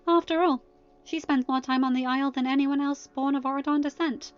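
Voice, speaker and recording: A woman's voice: sits high, fairly even intonation, brisk, lo-fi sound, faint music behind, voice pushed up, lightly acted. A woman voicing an outspoken young noblewoman in a storybook reading, composed, formal and pointed. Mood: pointed, assertive.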